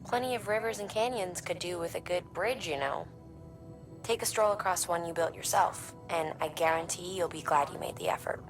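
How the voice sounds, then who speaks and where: An actress playing a friendly, persuasive support operator in a video game, explaining things conversationally. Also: female voice, fairly low pitch, normal speed, faint music behind, close to an everyday voice. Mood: friendly, encouraging. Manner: conversational, encouraging. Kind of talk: video game tutorial dialogue.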